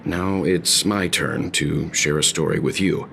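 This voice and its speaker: A man playing an earnest, philosophical traveler telling a story in a video game, deliberate and solemn with weighty pauses. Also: sits low, fairly even intonation, gravelly, over music. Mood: grave, earnest.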